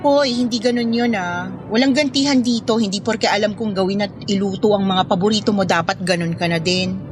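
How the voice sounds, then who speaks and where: A woman's voice: mid-range voice, fairly quick, noisy background, lightly acted. An actress playing an affectionate, playful young woman in love in a Filipino radio drama. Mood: teasing, caring. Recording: music bed under dialogue.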